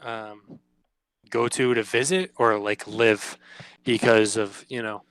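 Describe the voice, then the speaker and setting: A young man in a casual chat, laid-back and hesitant, often pausing on "um". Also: on the low side, fairly even intonation, fairly bright tone, clear, resonant tone, dry recording, rough recording.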